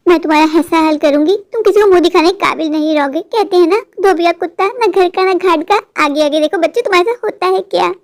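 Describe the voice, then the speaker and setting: A woman's voice: very high-pitched, quick pace, few pauses. A voice actor playing a scheming middle-aged mother who talks sweetly to others and makes sly, threatening asides in a cartoon. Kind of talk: cartoon voice acting.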